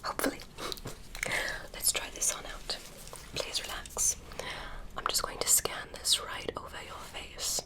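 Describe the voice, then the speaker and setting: A woman's voice: measured pace, long pauses, close to an everyday voice, low-quality recording. A woman voicing a curious, polite female alien examiner, whispering through an ASMR roleplay. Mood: clinical.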